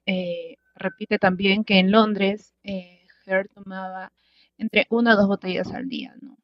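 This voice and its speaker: A woman's voice: medium pitch, animated, moderate pace, dry recording. A woman, a radio presenter recapping events in live commentary. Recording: dull, band-limited broadcast voice-over.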